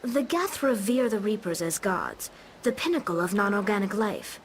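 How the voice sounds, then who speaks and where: A woman's voice: medium pitch, huge pitch swings, normal speed, a little hiss or hum. A young actress playing an earnest alien engineer in a video game, patiently explaining her people's ways. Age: young adult.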